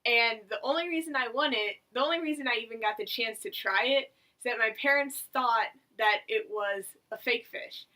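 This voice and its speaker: A woman's voice: a little high, moderate pace, few pauses, pushed and bright, far from the mic. A young woman of about eighteen from the United States, talking casually to her camera as a vlogger in a chatty, rambling, self-mocking way. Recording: voice a little away from the mic.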